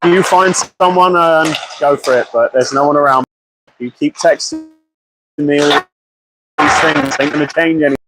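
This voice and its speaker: A British man with a croaky voice from a cold, chatting and then commentating live on an amateur ice hockey game from a small media box. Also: fairly high pitch, normal speed, background noise. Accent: British English. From Britain. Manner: chatty and apologetic, excitable on goals.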